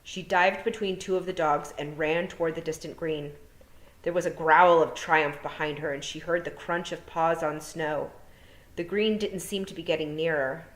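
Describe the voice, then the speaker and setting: A woman's voice: deep voice, fairly even intonation, moderate pace. A woman narrating a children's fantasy novel as a storytime reader. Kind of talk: storybook narration read aloud. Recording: roomy echo.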